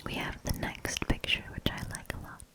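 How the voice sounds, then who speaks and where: A woman reading a fairy tale in a soft, gentle ASMR whisper, breathy and calming. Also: normal speed. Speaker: ASMR reader.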